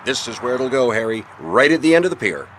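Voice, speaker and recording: A man acting a smooth, self-important restaurateur in a radio drama, with an expansive salesman's manner. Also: medium pitch, big pitch swings, quick pace, loud music behind the voice, lightly acted. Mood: proud, selling.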